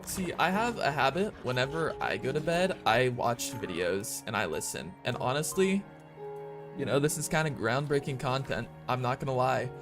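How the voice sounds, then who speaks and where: A young man streaming a game at night, talking softly and calmly in a hushed voice so as not to wake someone nearby. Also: medium pitch, moderate pace, over music. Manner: soft, calm, hushed talk. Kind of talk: quiet casual gameplay chat. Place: room at home at night.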